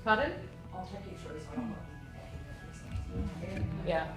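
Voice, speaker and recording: A woman's voice: mid-range voice, lively intonation, slow pace, over loud music. A woman who owns the salon, talking curtly and with hostility. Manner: curt, hostile. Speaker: salon owner. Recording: echoey room, from far off.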